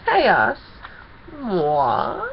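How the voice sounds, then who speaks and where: A woman's voice: fairly low pitch, wildly swooping pitch, very slow, lo-fi sound, slight background noise, exaggerated voice. A woman voicing a gleeful, teasing male chaos creature from a children's storybook, with theatrical mock innocence and gushing delight. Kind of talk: children's storybook character reading.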